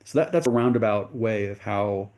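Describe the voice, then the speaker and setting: A man's voice: lowish voice, moderate pace, darkish tone. A middle-aged man from the United States, a venture capitalist guest in an interview, telling his story earnestly and correcting himself as he goes.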